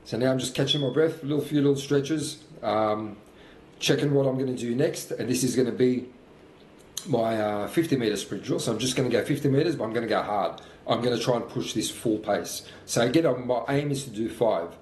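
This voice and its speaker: A man's voice: mid-range voice, a little fast, a little mellow, roomy sound, slight background noise, poor audio. A man of about fifty, talking through his own sprint workout as a fitness coach, motivational and matter-of-fact. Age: 50s.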